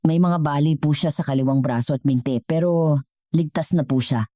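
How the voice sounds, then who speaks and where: A woman voicing a tired, sorrowful and gentle hospital doctor in a story. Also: sits low, normal speed, no room sound, clean studio sound, natural voice.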